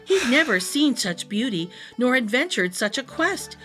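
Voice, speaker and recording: A woman reading a rhyming picture book aloud to young children, expressively, with deliberate dramatic phrasing. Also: on the high side, very animated, a bit bright, soft background music, low-quality recording. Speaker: storytime reader.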